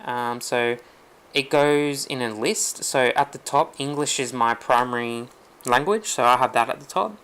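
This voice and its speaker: A man walking through computer settings in a relaxed, rambling way, with lots of ums. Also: medium pitch, unhurried, clean tone. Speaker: tech tutorial host. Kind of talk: casual screen-recorded walkthrough.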